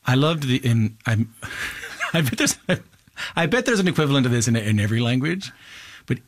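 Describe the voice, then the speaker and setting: A man's voice: medium pitch, sing-song, normal speed, slight background noise. A man hosting a radio interview, asking eager questions and often restarting his sentences. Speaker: interviewer host. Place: radio studio.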